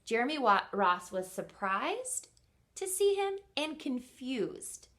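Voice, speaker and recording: A woman reading a picture book aloud expressively as a teacher does for children, pausing to ask simple questions. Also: fairly high pitch, very animated, sharp tone. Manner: pauses to quiz listeners with simple questions.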